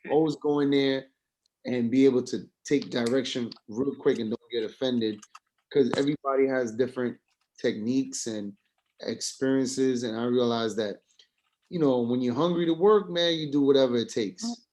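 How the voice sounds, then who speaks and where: A man's voice: medium pitch, moderate pace, a little mellow, poor audio. A man speaking informally and emphatically in a casual group discussion.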